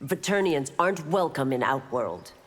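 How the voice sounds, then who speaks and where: An actress playing a stern, principled chief constable in a fighting game, speaking formally and with authority in a reverberant space. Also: female voice, very deep voice, lively intonation, moderate pace. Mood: stern, warning. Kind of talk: scripted fighting game dialogue.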